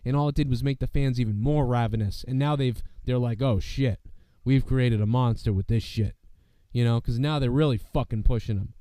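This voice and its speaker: A man's voice: medium pitch, a little fast, hardly any pauses, mellow, no room sound. Male wrestling-show host, under the weather, loose and profane, keeps saying "you know what I mean", dry close sound. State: sick, losing his voice. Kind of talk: casual profane show commentary.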